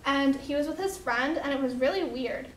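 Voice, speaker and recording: A woman's voice: sits high, moderate pace, lightly acted. A woman playing a naive teenage girl who rambles casually and hesitantly, peppering her talk with "like". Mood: vague, uneasy. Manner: hesitant teen talk, full of "like". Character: naive, self-absorbed teenage girl obsessed with being cool. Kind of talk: casual rambling monologue in amateur dramatization. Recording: echoey room, faint background noise.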